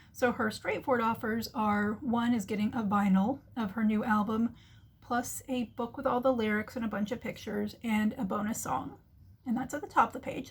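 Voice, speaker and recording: A woman with a fairly high voice that carries a husky edge. She talks as a host giving casual business commentary, explaining ideas in a chatty, conversational way and slipping into little imagined remarks. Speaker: host of a marketing commentary show.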